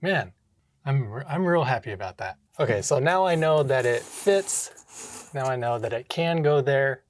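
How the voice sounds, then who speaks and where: A man's voice: mid-range voice, lively intonation, measured pace, a little hiss or hum. A man narrating a hands-on vlog casually, thinking aloud and talking to himself with short exclamations. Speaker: home theater DIY vlogger. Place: indoor home theater room with drop ceiling.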